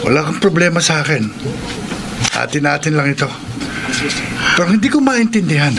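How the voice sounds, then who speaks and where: A stammering, jittery security guard. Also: male voice, fairly high pitch, big pitch swings, normal speed. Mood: nervous. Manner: stuttering, nervous. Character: stammering school security guard, loyal friend. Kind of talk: classic radio drama acting. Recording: noisy hiss behind the voice. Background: clear noise.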